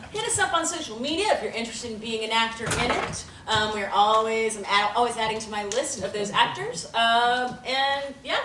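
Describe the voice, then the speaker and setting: A woman giving casual announcements to a theater audience, bubbly and full of fillers. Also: fairly high pitch. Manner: bubbly, hesitant, with many fillers. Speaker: theater company organizer. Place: theater or event room. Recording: roomy, from far off, faint noise.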